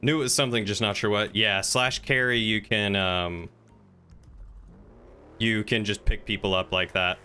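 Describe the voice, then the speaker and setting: Low, crisp-voiced man chatting mid-game. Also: fairly even intonation, normal speed, bright, forceful tone. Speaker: streamer playing a character in an online roleplay game. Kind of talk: casual in-game chat. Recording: faint background noise.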